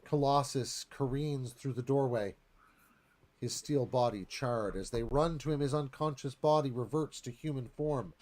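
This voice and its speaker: A man's voice: mid-range voice, a little slow, darkish tone. A man narrating stage directions in a live dramatic screenplay read.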